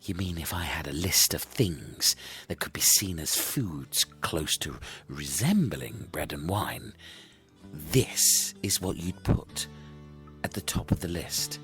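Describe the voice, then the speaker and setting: A man voicing a gruff, sceptical castaway in a comic audio story, deadpan, sarcastic and ponderous. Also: rumbling low, slow, soft background music, exaggerated voice. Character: gruff, sceptical man named Gav among shipwrecked lads.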